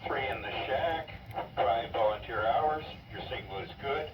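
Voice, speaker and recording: A man's voice: medium pitch, unhurried, lo-fi sound. A man giving clipped status reports as an operator checking in over a two-way radio. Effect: two-way radio transmission. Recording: noisy, echoey, distant radio transmission.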